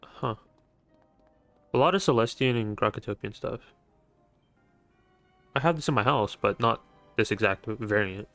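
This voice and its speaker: A man's voice: medium pitch, a little slow, long pauses, a bit bright, dry recording, soft background music. A young man giving casual, offhand gameplay commentary as a video host, laid-back in manner.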